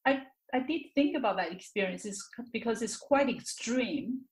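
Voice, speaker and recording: A woman's voice: a little high, lively intonation, moderate pace. A woman speaking as an interview guest, reflective and candid, often repeating words and phrases as she searches for the right ones. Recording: roomy, echoey sound.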